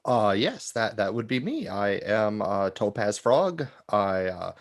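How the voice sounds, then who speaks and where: A man playing a halting frog-masked warrior. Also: on the low side, sing-song, heavily acted. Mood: earnest. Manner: halting, full of uh's. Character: hesitant masked frog-warrior protector, male.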